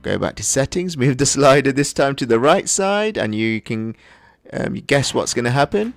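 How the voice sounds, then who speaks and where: A man narrating a software tutorial in an instructional, step-by-step way. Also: mid-range voice, lively intonation, moderate pace, no room sound, faint music behind. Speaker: tutorial host.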